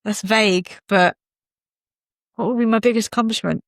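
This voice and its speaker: A woman, a podcast guest chatting casually in an animated, joking way. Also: mid-range voice, no echo, clean studio sound. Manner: animated, jokey, quick asides. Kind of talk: casual chat.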